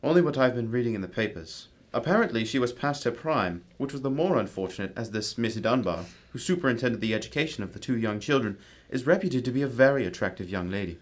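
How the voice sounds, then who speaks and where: A courteous gentleman detective in a radio mystery play, acted by a man, with a medium-pitched voice. He is composed and analytical, explaining things in formal, measured phrases. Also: expressive, natural voice. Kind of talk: amateur radio drama acting.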